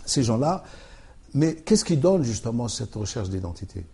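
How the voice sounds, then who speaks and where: Halting, husky-voiced male author. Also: mid-range voice, very animated, warm tone. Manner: halting, many restarts and fillers, thoughtful. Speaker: author, interview guest. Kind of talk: hesitant conversational interview.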